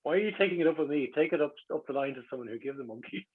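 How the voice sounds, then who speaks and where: A man's voice: on the high side, lively intonation, racing, slightly rough. A middle-aged Irish man telling casual, rambling anecdotes as an interview guest, wry and self-deprecating, in a slightly lo-fi recording. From Ireland.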